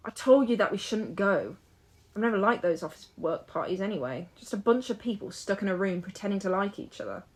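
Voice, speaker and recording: A woman's voice: medium pitch, expressive, brisk, natural voice. A woman acting in an audio drama as a restless, hopeful young woman who chats in a musing, playful way. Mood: restless, discontented.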